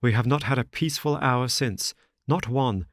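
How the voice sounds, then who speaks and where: A man voicing an anxious, careworn young gentleman who speaks in an urgent, distressed way. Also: medium pitch, moderate pace, dry recording, clean studio sound, voice pushed up, close to an everyday voice.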